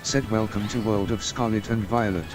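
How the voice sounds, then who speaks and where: A man's voice: on the low side, steady tone, moderate pace, music in the background. An Australian man streaming a game, chatting casually and calling everyone mate while he reads viewer messages aloud. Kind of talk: casual gameplay stream chat.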